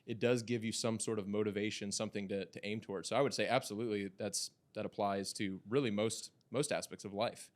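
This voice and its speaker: Man in his thirties, fairly low voice, reflective, halting, casual podcast chat. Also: fairly even intonation. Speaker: podcast co-host.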